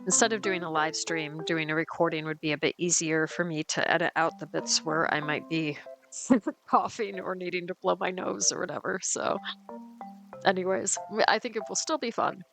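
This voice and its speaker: A woman with a lower voice than most talks through her game as the host, unhurried and chatty, dotting her thoughts with ums and restarts. She is getting over a cold and sounds a bit sniffly. Music plays clearly underneath her. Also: fairly even intonation, low-quality recording. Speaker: let's play host. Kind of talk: casual gameplay commentary.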